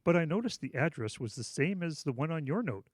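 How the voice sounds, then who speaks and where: A woman's voice: low-pitched, lively intonation, brisk, dry recording, clean studio sound. A woman playing a genial, chatty, fussing church pastor in a radio drama. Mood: amused, puzzled.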